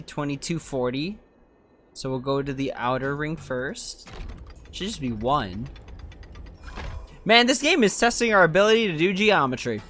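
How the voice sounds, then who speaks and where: A man's voice: fairly high pitch, fairly bright tone, slight background noise. A man streaming a video game, giving casual live commentary as he plays.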